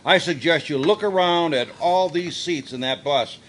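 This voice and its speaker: A man's voice: fairly high pitch, moderate pace, fairly bright tone, slight background noise, lightly acted. A man playing an earnest, pompously heroic bus police officer in a live comic radio play, stumbling a little as he reads. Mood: pompous, indignant. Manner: stumbling over lines while reading.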